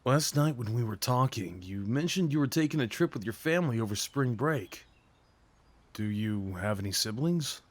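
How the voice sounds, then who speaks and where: Male actor as jittery, kind-hearted young date for ASMR roleplay; hesitant, self-effacing, mid-pitched voice. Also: expressive, moderate pace, no room sound, lightly acted. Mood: friendly, tentative. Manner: hesitant, apologetic, rambling, sighs and nervous chuckles. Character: nervous, sweet young man on a first date. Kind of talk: ASMR roleplay acting addressed to the listener.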